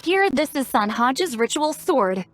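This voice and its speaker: A woman's voice: high voice, very animated, measured pace, faint music behind. A woman playing a blunt, self-assured, protective female spellcaster in a video game. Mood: calm, practical.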